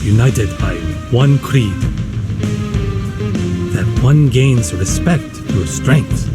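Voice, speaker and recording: Solemn narrator for a karate game: mid-pitched, proverb-like gravity, prominent music underneath. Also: male voice, very slow. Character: grave, proverb-spouting male storyteller of karate rivalries. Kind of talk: dramatic scripted game narration.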